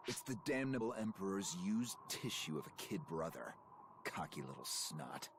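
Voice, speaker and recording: An actor playing a gruff, brash, hot-headed brawler in a video game, blunt and aggressive. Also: male voice, sits low, sing-song, normal speed, noisy background. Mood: scornful.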